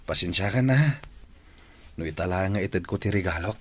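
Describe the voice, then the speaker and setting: A man's voice: deep voice, expressive, moderate pace, husky. A man playing an ashamed, apologetic young cousin in an Ilocano radio drama, with an Ilocano accent.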